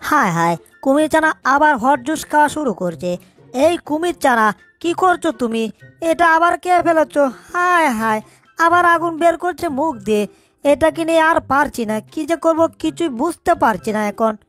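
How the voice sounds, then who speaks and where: A woman's voice: high-pitched, animated, moderate pace, fairly bright tone, faint music behind. A woman voicing a small male mouse in a cartoon dub, fussy and exasperated but kindly.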